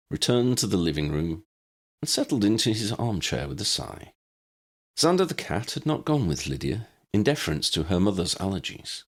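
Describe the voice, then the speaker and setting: Deep-voiced man narrating a story, gravelly edge. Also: fairly bright tone. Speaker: audiobook narrator reading own fan fiction.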